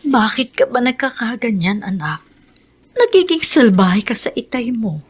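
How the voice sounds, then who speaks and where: An actress playing a patient, long-suffering middle-aged Filipina mother with a husky voice in a radio drama. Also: on the high side, big pitch swings, normal speed. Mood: pleading, sorrowful.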